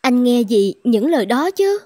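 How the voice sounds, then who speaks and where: A woman voicing a sweet, sensitive young village beauty in love, in an animated fairy tale. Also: on the high side, normal speed, no room sound. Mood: sweet, delighted.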